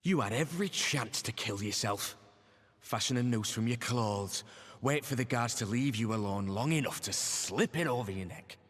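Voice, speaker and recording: A man's voice: mid-range voice, lively intonation, moderate pace, a bit bright. An actor playing a forthright, impassioned man in a video game, confronting a traitor in an accusing, emphatic way. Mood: scathing, goading. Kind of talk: dramatic video game voice acting. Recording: long hall-like reverb.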